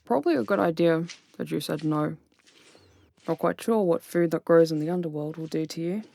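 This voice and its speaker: A woman's voice: low voice, creaky, darkish tone, full character voice. A woman playing a charming, sardonic demon guide in an ASMR audio roleplay, murmuring breathily with wry, formal phrasing. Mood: dry, casual.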